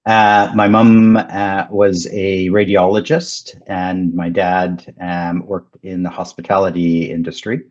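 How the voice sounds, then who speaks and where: A man in his fifties with a very low voice whose first language is French. He talks in a measured, thoughtful way, pausing on ums and restarting his sentences. The sound is muffled and lo-fi. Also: level tone, darkish tone. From Canada. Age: 50s. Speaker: guest, company founder. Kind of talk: casual interview chat.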